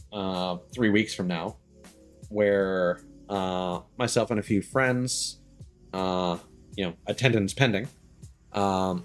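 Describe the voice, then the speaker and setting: Casting: male streamer, lower-pitched, hesitant casual chat that meanders, faint music behind. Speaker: game live streamer.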